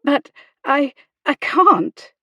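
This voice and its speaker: A woman voicing an anxious, mortified young gentlewoman, faltering and pleading, in a period romance audiobook. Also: a little high, steady tone, slow pace, no room sound, muffled recording. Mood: flustered protest.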